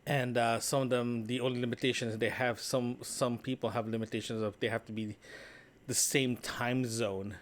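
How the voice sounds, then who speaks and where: A man records a casual solo podcast diary in a mid-pitched voice. He speaks conversationally and loosely, wandering from thought to thought and often tagging his points with a small question. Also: flat delivery, normal speed. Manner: conversational, meandering, often checking with "right?". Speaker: solo podcast host and vlogger. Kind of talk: casual, rambling spoken audio diary.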